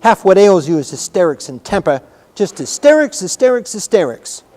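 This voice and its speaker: A man's voice: high voice, very animated, moderate pace, darkish tone, in a large hall, pitched above the performer's own voice. A man voicing a fierce, sour, hot-tempered young girl in a storybook, scolding emphatically. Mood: fierce, commanding.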